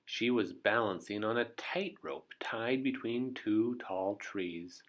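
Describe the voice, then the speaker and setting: A man reading a children's story aloud as an expressive narrator. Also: lowish voice, slow. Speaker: storybook reader.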